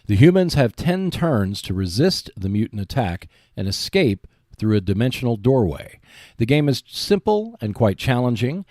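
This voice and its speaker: A man's voice: medium pitch, dark tone, dry recording, clean studio sound. A man hosting a podcast, reading scripted narration.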